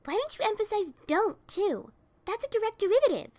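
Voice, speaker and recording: A woman's voice: fairly high pitch, takes it slowly. A woman voicing a grumpy, easily riled gray pegasus mare with an exasperated, fuming manner. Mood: furious. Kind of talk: audiobook character reading.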